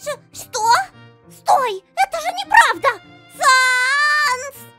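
A woman's voice: extremely high pitch, expressive, drawn-out words, bright, forceful tone, faint music behind, full character voice. A woman voicing a small, panicky female lab assistant creature in a comic dub, frantic and stammering. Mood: distressed.

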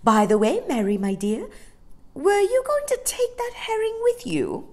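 A woman's voice: high voice, wildly swooping pitch, measured pace, pitched above the performer's own voice, heavily acted. A woman voicing a sociable, greedy female dolphin in a storybook, with an effusive, exclamatory manner. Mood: greedy, eager.

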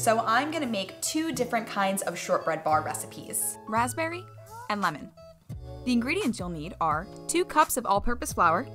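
An American woman in her twenties hosting a cooking vlog in a chatty, playful, sarcastic and self-deprecating way. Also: medium pitch. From United States. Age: 20s. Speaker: cooking channel host. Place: home kitchen, indoors. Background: background music.

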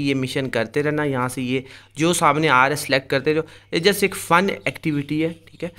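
A man's voice: on the high side, fairly bright tone, clear, resonant tone. A man hosting a gaming video, casually explaining things straight to his viewers as he goes. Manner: explanatory, addresses viewers directly. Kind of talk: casual screen-recorded game commentary.

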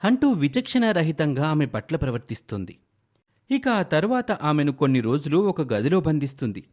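A man's voice: a little high, sing-song, normal speed, a little mellow. A man narrating a scripted moral story in a dramatic storytelling style.

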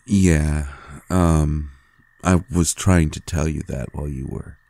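A man playing a tender, adoring vampire lover in an intimate audio roleplay, gently teasing in a hushed, halting, gravelly voice. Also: steady tone, no room sound, exaggerated voice. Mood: reassuring, seductive. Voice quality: very deep, gravelly and husky. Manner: slow, hushed, halting with pauses.

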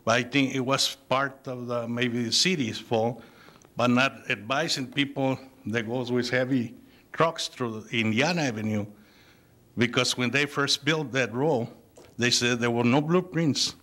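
A middle-aged man with a Spanish accent, speaking haltingly with many fillers at a city council public comment, into a podium microphone in a council chamber. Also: mid-range voice, sharp tone. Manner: halting, with frequent fillers and restarts. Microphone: podium microphone on a gooseneck.

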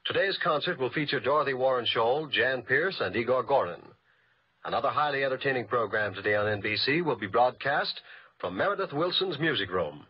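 An American man reading a scripted 1950s radio promo as a network announcer, polished and formal, with a husky voice. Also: medium pitch. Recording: dull vintage radio recording.

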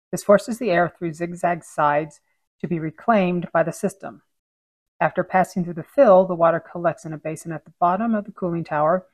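Woman, 30s, training-video presenter; clear, measured explainer, lowish voice, dry close mic. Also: no room sound. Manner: clear, steady instructional delivery. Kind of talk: scripted technical explainer over drawings.

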